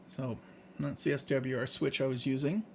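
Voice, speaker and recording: A man's voice: medium pitch, fairly even intonation, normal speed, gravelly, a little hiss or hum. A man presenting a hands-on gadget demonstration in a casual, unscripted way, explaining things in plain, informal words.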